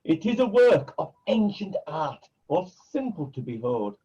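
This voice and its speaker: An actor playing a scheming pantomime villain who poses as a kindly uncle, speaking in theatrical, wheedling rhyme. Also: male voice, fairly high pitch, big pitch swings, a little slow, warm tone, breathy edge, muffled recording, full character voice. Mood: coaxing. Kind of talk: rhyming pantomime acting.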